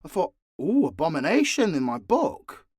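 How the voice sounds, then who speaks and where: A man's voice: a little high, big pitch swings, moderate pace, darkish tone, slightly rough, dry recording, studio quality. A man speaking off the cuff as an audio drama creator answering listeners, blunt and sweary with a theatrically exasperated manner. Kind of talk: unscripted Q&A monologue to listeners.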